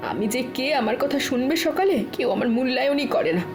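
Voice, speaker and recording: A woman's voice: sits high, lively intonation, quick pace, over music. An actress playing an aggrieved, hard-working middle-aged village housewife and mother in a fairy tale cartoon, with a complaining, plaintive manner. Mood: scolding.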